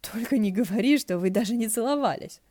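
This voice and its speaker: A woman's voice: on the high side, fairly quick, mellow, no room sound, clean studio sound, pitched above the performer's own voice, lightly acted. Woman voicing a snappish, sly male ghost lord. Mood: teasing, proud. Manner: sarcastic, barking, teasing.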